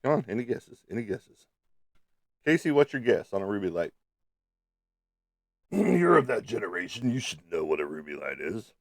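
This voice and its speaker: A low-pitched man hosts a casual livestream in a close, dry sound. He is slightly stuffed up and talks to viewers in a playful, teasing way. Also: very animated, moderate pace, gravelly, long pauses, no echo. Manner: playful, teasing, peppered with sung sound effects. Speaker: livestream host.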